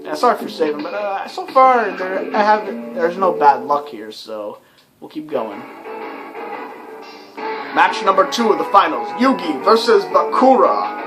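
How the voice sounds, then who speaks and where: Chatty young gamer with a lively pitch. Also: measured pace, poor audio. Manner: rambling and chatty, with many fillers. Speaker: let's play commentator. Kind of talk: casual video game commentary. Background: game soundtrack music.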